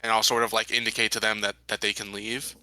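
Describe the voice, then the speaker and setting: A man playing a tabletop roleplaying game, explaining his character's actions in deliberate, rather formal wording. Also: fairly low pitch, flat delivery, bright, forceful tone, no echo, poor audio.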